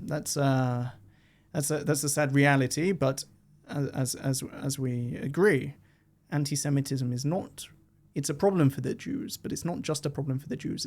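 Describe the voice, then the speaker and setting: A man, a diplomat speaking as a guest in a podcast interview, measured and lifting his voice when he quotes others. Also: mid-range voice, darkish tone. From Israel. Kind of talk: conversational interview.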